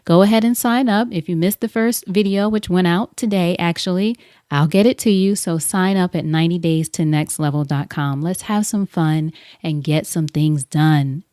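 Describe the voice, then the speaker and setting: A woman with a smooth, clear voice hosting an upbeat interview podcast on a close mic. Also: on the low side, normal speed, mellow, no room sound.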